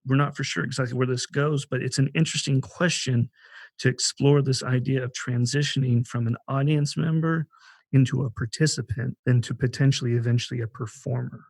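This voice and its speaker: Guy podcast host explaining stuff earnestly, gravelly fry in his voice, really dry sound. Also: mid-range voice, steady tone, fairly quick, no echo. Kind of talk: conversational podcast talk about a theater project.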